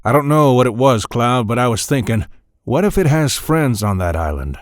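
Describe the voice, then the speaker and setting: Gruff commando sergeant voiced by a man, mid-pitched, recorded dry, for a war motion comic. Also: sing-song, normal speed, dry recording, lightly acted. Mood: wary. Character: tough, gruff WWII army sergeant in a commando squad. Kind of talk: wartime adventure drama acting.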